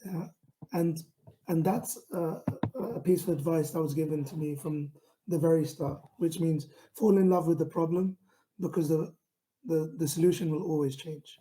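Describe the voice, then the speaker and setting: A man with a London accent giving an online talk to camera, speaking in a steady, self-described monotone with frequent fillers and restarts. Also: fairly high pitch, normal speed, a little hiss or hum, rough recording. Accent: London English. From England. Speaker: founder giving a pitching talk.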